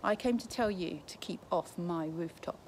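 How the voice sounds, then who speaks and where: A woman's voice: on the low side, moderate pace, natural voice. Woman reading as wary, cocky boy, blunt and dismissive, outdoor recording with background noise. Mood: offhand. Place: garden outdoors.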